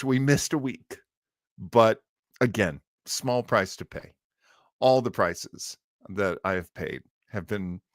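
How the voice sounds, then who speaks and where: Slow, halting American man hosting casually. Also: lowish voice, a bit bright, no echo, studio quality. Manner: halting, self-deprecating, chuckles while talking. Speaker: live show host. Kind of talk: casual livestream chat. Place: home studio.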